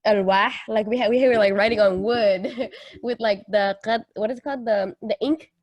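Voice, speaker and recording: A young woman co-hosting a podcast, originally from Kenya. She tells personal stories in informal, slangy language with a joking manner, at a middle pitch. The sound is dry and close, with no room echo. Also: normal speed, lo-fi sound. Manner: informal slangy talk, jokey. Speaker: podcast co-host. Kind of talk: casual chat, personal storytelling.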